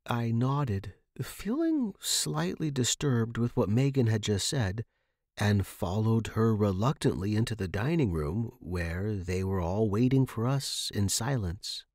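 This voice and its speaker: A man's voice: fairly low pitch, animated, measured pace, darkish tone, no room sound. A man narrating a scripted story for a fiction podcast, recorded close in a dry space.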